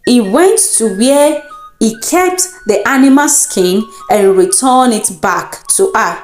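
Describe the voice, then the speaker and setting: Expressive, lively Nigerian woman telling a folktale. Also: a little high. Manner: deliberate, expressive storytelling. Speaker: storyteller. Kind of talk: dramatic folktale narration. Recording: roomy echo with music underneath. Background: music.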